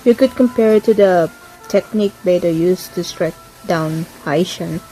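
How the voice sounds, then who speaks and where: A woman doing casual playthrough commentary and reading game dialogue aloud, a little halting. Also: fairly low pitch, warm tone, poor audio. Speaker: gaming video creator. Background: game music.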